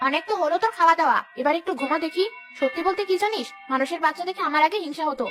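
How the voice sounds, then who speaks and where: A woman voicing a young female village ghost in a cartoon, gruff but motherly and protective, scolding and muttering to herself. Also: extremely high pitch, animated, racing, music in the background. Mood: tender, wistful. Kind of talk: Bengali cartoon voice acting.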